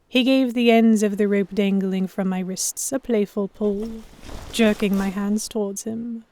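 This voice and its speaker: A woman plays a young noblewoman telling her own tale in an audio drama. She is stubborn and easily flustered, with a measured, expressive delivery at a medium pitch. The sound is close and dry. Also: dry recording, close to an everyday voice. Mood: tense, wistful narration. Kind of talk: first-person audio drama narration.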